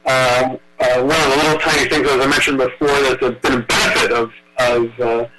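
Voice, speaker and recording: A man from Brooklyn, New York, chairing a public meeting over a video call, speaking haltingly with many fillers. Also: medium pitch, flat delivery, loud music behind the voice, low-quality recording. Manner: halting, many filler words, effusively thankful. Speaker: commission chair.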